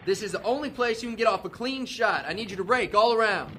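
An actor playing a commanding American lieutenant in a war radio drama, giving clipped orders. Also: male voice, high-pitched, quick pace. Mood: firm, commanding. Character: brisk, commanding male US Army lieutenant in wartime. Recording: loud battle noise over the voice.

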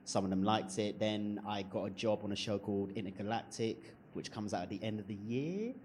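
A man with a somewhat low voice speaks bluntly on a live writers' panel, swearing and joking as he goes. His delivery is loose and comic. Faint room noise sits underneath. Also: a little fast, darkish tone. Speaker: panel guest, writer and comedian. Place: event stage with audience.

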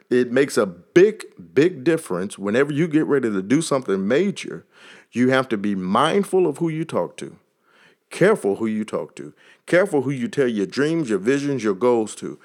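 A man with a Texas accent hosting a podcast and giving a motivational talk, repeating phrases and building emphasis like a preacher. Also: medium pitch. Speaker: podcast host.

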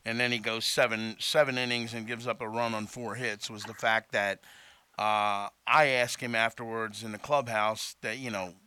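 A man's voice: fairly low pitch, flat delivery, moderate pace, bright, forceful tone, a little hiss or hum. A man co-hosting a sports radio show, talking casually as a baseball reporter.

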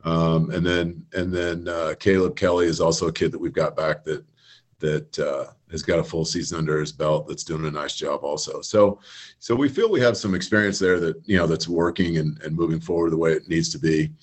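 Rich: A football coach speaks in a very low, husky voice over a video call. He rambles through his answers, stopping and restarting with plenty of fillers. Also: fairly even intonation, fairly quick. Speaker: high school football coach, guest. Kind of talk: casual radio interview.

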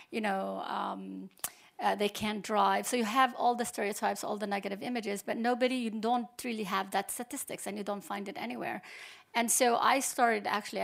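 Middle-aged woman from palestine on a panel, gravelly fry in her voice, lots of ums and restarts. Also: medium pitch. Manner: hesitant, many restarts and fillers. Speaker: panel guest, computer science professor. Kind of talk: unscripted panel talk.

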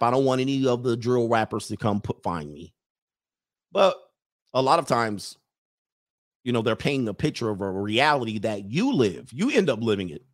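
A man in a loose, talky monologue, his pitch at an ordinary middle height. He keeps jumping into teasing impressions of other people, then breaks off laughing at his own jokes. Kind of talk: casual commentary monologue.

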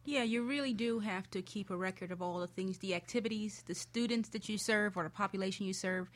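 A woman's voice: mid-range voice, brisk. Female expert guest, mid-range voice, conversational and explanatory delivery. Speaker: panel guest, nonprofit consultant and educator. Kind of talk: casual explanatory panel talk.

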